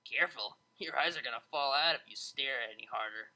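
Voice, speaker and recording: Narrator as a cheeky young DJ mare for an audiobook: slangy, teasing, bright. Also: medium pitch, fairly quick, low-quality recording. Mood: muttered mockery. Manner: casual, slangy, hesitant joking.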